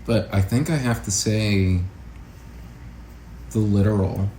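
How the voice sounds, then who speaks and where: A man talking casually as an interview guest, an acting teacher explaining his craft in a thoughtful, halting way. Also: on the low side, mellow. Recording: roomy echo, faint background noise.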